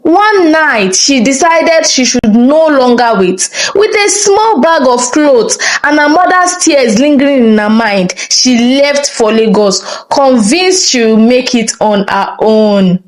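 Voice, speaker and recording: A woman narrating a scripted folktale in a dramatic, expressive and deliberate storytelling style. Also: high voice, poor audio.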